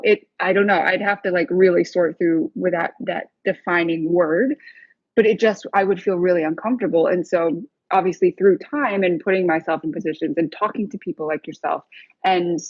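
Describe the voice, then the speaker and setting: A woman's voice: on the low side, breathy edge, no echo, dull audio. A woman in her thirties, a guest on a talk show, who speaks thoughtfully and searches for words. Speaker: guest chef. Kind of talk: casual interview chat.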